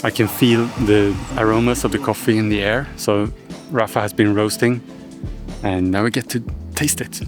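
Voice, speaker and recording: A man's voice: lowish voice, fairly even intonation, moderate pace. A man narrating his filmmaking vlog with enthusiasm. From Sweden. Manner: enthusiastic, reflective. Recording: music playing underneath.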